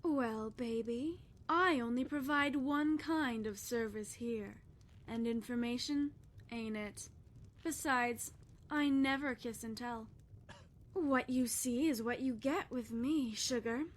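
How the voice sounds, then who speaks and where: A woman playing a flirtatious, sly tavern courtesan in a fantasy game, teasing and coy. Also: a little high. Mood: coy, dismissive. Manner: teasing, coy, sultry drawn-out endearments. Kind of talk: scripted game character dialogue.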